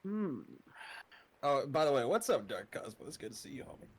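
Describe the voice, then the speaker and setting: A man's voice: mid-range voice, big pitch swings, a little fast, slightly rough. Laid-back swearing young man. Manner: laid-back, swears often, laughs a lot. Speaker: interview guest, horror narrator. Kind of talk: casual interview chat.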